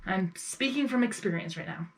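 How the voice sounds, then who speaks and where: A woman's voice: medium pitch, expressive, normal speed, creaky. A woman giving casual live reaction commentary as host of a reaction video.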